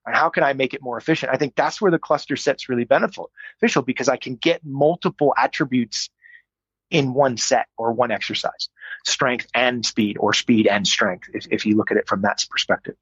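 A man's voice: medium pitch, brisk, a bit bright, slightly rough, no room sound, dull audio. A middle-aged man, a strength coach, answering interview questions in a thoughtful, explanatory way with frequent fillers. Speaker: strength coach, podcast guest.

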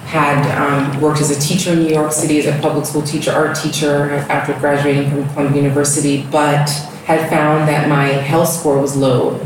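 A woman's voice: low voice, little pitch movement, normal speed, few pauses. A woman raised in the US, talking reflectively about her life as a guest in an interview at home, with fillers as she goes. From Ghana, raised in the US. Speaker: guest, yoga studio founder. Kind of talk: casual interview talk. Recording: echoey room, distant mic, background noise.

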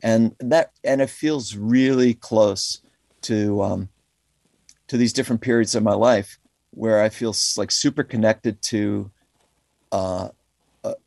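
A man's voice: on the low side, fairly even intonation, slow, darkish tone, no echo. Middle-aged male musician, interview guest: casual, rambling, enthusiastic, dry close sound. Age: middle-aged or older. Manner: rambling, enthusiastic, frequent "you know" and "like". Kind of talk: casual, reflective interview conversation. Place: calling in remotely to a podcast.

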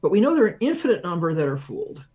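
Higher-pitched man explaining science. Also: very animated, rapid-fire, darkish tone, highs cut off. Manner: thinks aloud, poses questions and answers them with examples. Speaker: research professor, interview guest.

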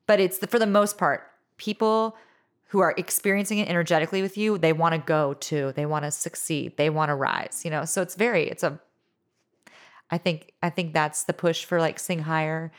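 A woman in her thirties, a musician being interviewed, talking in a loose, rambling way with many fillers like "you know" and "like"; she has a low voice. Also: fairly even intonation, moderate pace. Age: 30s. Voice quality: low singing voice. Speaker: musician guest. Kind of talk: casual interview chat.

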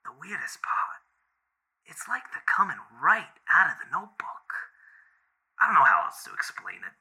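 An actor playing an anxious, guilt-ridden boyfriend in an audio drama, rambling in halting, slangy, self-deprecating speech as if leaving a voicemail. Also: male voice, fairly high pitch, very animated, pushed and bright, slightly rough, whispered, full character voice. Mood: bewildered, uneasy.

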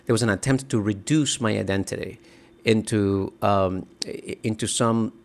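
A middle-aged man from the Dominican Republic, an author answering interview questions in a halting, thoughtful way with many restarts. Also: lowish voice. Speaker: author and guest.

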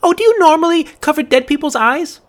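A man voicing a stubborn, sharp-tongued teenage girl who snaps back indignantly. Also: expressive, very slow, full character voice. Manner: shrill, indignant, snapping back.

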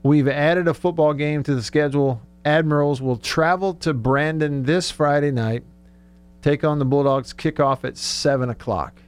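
A man's voice: on the high side, fairly even intonation, moderate pace, clear, resonant tone. A man hosting a casual sports radio show, conversational and thinking aloud as he goes.

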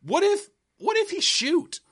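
A man's voice: very high voice, very animated, unhurried, a bit bright, voice pushed up, heavily acted. A man improvising an eager male game company employee who keeps breaking into giggles. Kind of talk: improvised comedy sketch.

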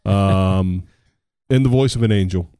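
Man, middle-aged, American, podcast co-host, sarcastic, rambling, lowish dark voice, dry sound. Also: unhurried, smooth, no echo. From United States. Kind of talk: casual chat.

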